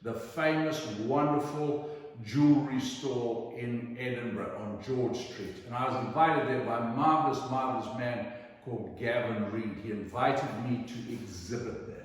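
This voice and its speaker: A middle-aged man from Zimbabwe telling personal anecdotes in a theatrical, emphatic way with dramatic pauses. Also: medium pitch. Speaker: storyteller. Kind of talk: animated anecdotal storytelling. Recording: echoey hall, distant microphone.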